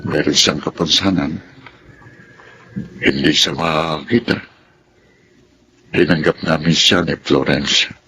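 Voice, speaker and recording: A man's voice: extremely low pitch, fairly even intonation, slow pace, long pauses, pushed and bright, heavily acted. An actor playing a weary, devoted Filipino father in a radio drama, speaking earnestly and reflectively. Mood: solemn, tender. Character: weary, devoted father who keeps hoping for his children. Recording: faint background noise.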